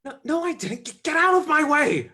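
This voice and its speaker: A man's voice: very high-pitched, big pitch swings, normal speed, pushed and bright, heavily acted. A man voicing an irritable, impatient male villain monster in a comedy script read-through. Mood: irritated. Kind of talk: cast table read of a film script.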